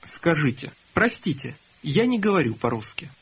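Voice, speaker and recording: A man leads a language course in a calm, instructive tone, prompting the listener to repeat each phrase. His voice sits a little high and sounds breathy and husky. The recording is dull and lo-fi. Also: normal speed. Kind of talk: scripted instruction prompting learners.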